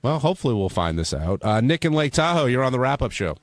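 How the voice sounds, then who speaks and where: A man's voice: mid-range voice, sing-song, quick pace, dry recording. A man hosting a call-in radio show in a casual conversational style. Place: radio studio.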